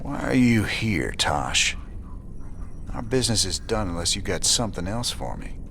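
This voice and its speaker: An actor playing a wary, gruff rebel space commander in a video game, speaking in a laconic, folksy drawl. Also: male voice, on the low side, big pitch swings, moderate pace, a bit bright, slight background noise, heavily acted. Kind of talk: video game cutscene acting.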